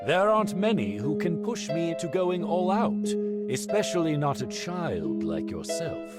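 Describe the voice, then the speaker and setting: A man playing a gruff, confident guard captain in a video game, with an amused, taunting manner. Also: a little high, sing-song, a little slow, loud music behind the voice.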